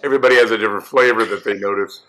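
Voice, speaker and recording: Older male guest, slightly hoarse, low voice with a bright edge, easygoing storyteller. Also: very fast. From California. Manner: relaxed, storytelling. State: hoarse from a cold. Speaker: guest musician. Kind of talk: casual interview. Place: home music room with guitars.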